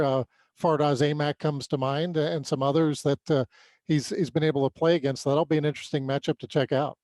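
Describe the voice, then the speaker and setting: A man's voice: fairly high pitch, a little mellow, no room sound. A man co-hosting a sports podcast, giving conversational analysis and leading an interview.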